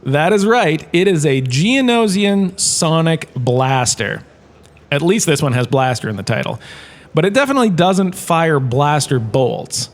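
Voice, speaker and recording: A man's voice: on the high side, sing-song, unhurried. A man playing a washed-up actor turned lecturer in a comedic audio drama, chatty and wry, tossing asides to his class. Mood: chatty lecturing.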